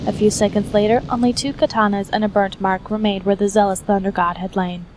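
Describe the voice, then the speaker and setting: Woman narrating a story over noticeable noise. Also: medium pitch. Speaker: fan fiction reader, narrator. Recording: noisy hobby recording, steady background noise.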